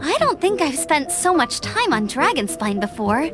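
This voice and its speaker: A woman's voice: high voice, big pitch swings, moderate pace. A woman playing a spirited, friendly young female scout, earnest and upbeat, in an anime-style video game. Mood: friendly, wistful. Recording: music behind the voice.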